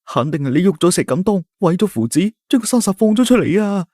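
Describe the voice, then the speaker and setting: Young villager in a panic, pitched high and urgent; Cantonese accent; a man doing the voice. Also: very animated, mellow, dry recording, clean studio sound. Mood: panicked. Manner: anxious, rushed.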